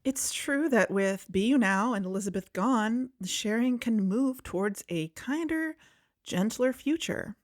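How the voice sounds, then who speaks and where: An actress playing an earnest, hopeful woman on an investigative podcast, speaking in a measured way. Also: a little high, lively intonation, no echo, studio quality.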